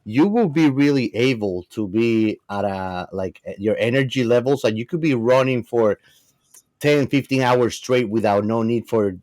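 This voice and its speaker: A man's voice: medium pitch, a little slow, a little mellow, no echo. A man co-hosting a podcast in casual discussion, working his way to the point in roundabout phrases. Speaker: podcast co-host.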